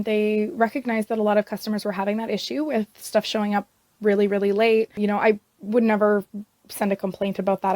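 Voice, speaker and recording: Hoarse young woman, chatty vlog. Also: mid-range voice, darkish tone, no echo. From Canada. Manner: chatty, rambling, many fillers. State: hoarse, scratchy from vocal strain. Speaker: beauty vlogger. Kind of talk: casual talk to camera.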